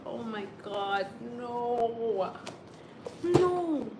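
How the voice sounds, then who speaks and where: A woman's voice: on the high side, lively intonation, very slow, noisy background. A young woman from Kenya, chatting casually on a livestream and answering viewer comments as they come. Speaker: YouTube vlogger on a livestream.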